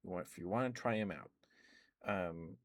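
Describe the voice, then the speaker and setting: Deep-voiced guy doing an asmr ramble, lots of ums, dry close mic. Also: normal speed, no echo. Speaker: ASMR streamer. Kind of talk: soft-spoken ASMR game rambling.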